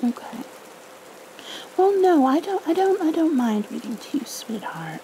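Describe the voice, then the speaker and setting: Soft mommy asmr lady reading a storybook, slow n mellow, bit creaky, faint rain behind. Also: high voice, big pitch swings. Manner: soothing, gentle, tender. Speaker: ASMR roleplay performer. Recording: faint background noise, rain ambience.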